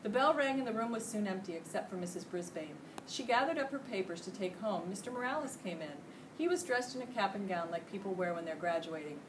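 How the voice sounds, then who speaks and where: A middle-aged American woman reading a children's storybook aloud with expressive, emphatic delivery. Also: medium pitch, fast. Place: indoor school classroom. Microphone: distant camera microphone. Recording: distant camera mic, noisy room.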